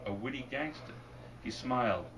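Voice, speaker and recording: A man reading his fiction aloud at a bookstore event, deadpan and wry, and losing his voice. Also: medium pitch, normal speed. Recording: distant mic, noisy room. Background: audience laughter.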